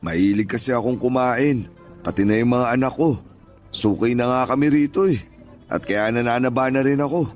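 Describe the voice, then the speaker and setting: A man's voice: mid-range voice, normal speed, close to an everyday voice. An actor playing a kindly, hardworking older father and former street vendor in a Tagalog audio drama, with a Filipino accent and reassuring, plain-spoken manner. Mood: chatty, content. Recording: music bed behind voice.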